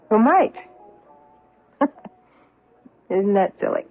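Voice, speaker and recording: A troubled wife in her forties with a breathy, husky voice, answering in a hesitant and guarded way. It sounds like a tinny old radio play. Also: medium pitch, animated, drawn-out words, faint music behind. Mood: nervous. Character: troubled middle-aged wife hiding a secret. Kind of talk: 1970s radio drama acting.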